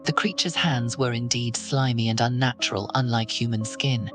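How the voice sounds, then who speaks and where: A man narrating a horror audio roleplay in the second person, with a steady, ominous delivery. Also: medium pitch, normal speed, soft background music.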